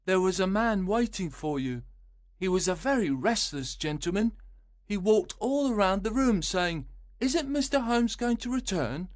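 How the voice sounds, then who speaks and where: A man's voice: sits high, lively intonation, a little slow, no room sound, clean studio sound, voice pushed up. A man voicing a polite, eager young page boy in a read-aloud story.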